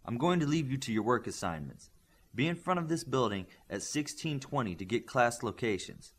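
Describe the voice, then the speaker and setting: A man's voice: medium pitch, big pitch swings, moderate pace. A man voicing a stern, demanding superhero team coach in an audiobook, giving clipped, commanding instructions. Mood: firm.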